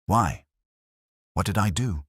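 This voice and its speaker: A man's voice: sits low, lively intonation, slow pace, fairly bright tone, slightly rough, no room sound, clean studio sound, pitched above the performer's own voice, heavily acted. A man voicing a frightened, bewildered young actress in an audiobook, hesitant and questioning. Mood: distressed.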